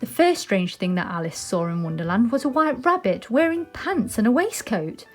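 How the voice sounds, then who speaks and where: A woman's voice: fairly high pitch, big pitch swings, normal speed, soft background music. A British woman presenting and reading a children's story aloud.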